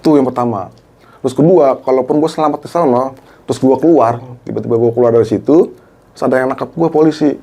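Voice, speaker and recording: Man, middle-aged, casual storytelling, slangy, acts out dialogue, mid-pitched voice. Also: fast talker. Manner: animated, acts out quoted dialogue, Jakarta slang. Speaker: guest, former TV cameraman.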